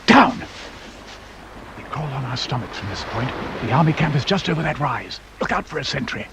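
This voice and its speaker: An actor in a radio drama playing a grave, commanding senior intelligence chief with a clipped, authoritative way of talking. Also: male voice, mid-range voice, big pitch swings, normal speed, creaky. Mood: brisk, commanding. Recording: dull radio recording with loud noise.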